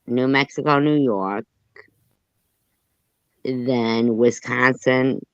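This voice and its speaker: A man's voice: medium pitch, fairly even intonation, extremely slow, clear, resonant tone, no room sound, muffled recording. Insistent man spelling things out. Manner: insistent, argues each point and spells out letters. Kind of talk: casual chat.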